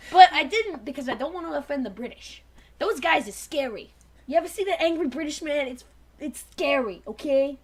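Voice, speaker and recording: High, giggly, excitable young woman. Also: moderate pace. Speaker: host and reader. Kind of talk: joking group chat during a dramatic fan fiction reading.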